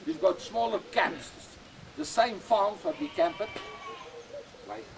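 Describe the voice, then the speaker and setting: An older man with an Afrikaans accent, whose first language is Afrikaans, talking plainly and repetitively in halting English as a goat breeder outdoors on a farm. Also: sits high, lively intonation, vocal fry, noisy background. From South Africa. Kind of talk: casual explanation on a farm.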